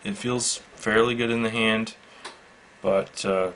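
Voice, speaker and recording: A man giving a casual, unscripted product demonstration in a matter-of-fact, halting, measured way. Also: lowish voice, steady tone, fairly bright tone. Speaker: product reviewer. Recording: faint background noise.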